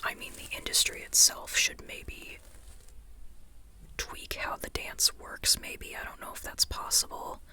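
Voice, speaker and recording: An ASMR creator whispering an informal, rambling book chat in a breathy, unvoiced voice, tentative and softly repeating phrases. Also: a little slow.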